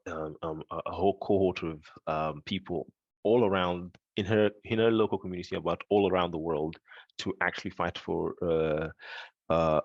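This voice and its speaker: Halting low-voiced man giving a talk. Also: no room sound, dull audio. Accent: London English. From Nigeria. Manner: halting, many fillers and restarts. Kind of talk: presentation talk with slides.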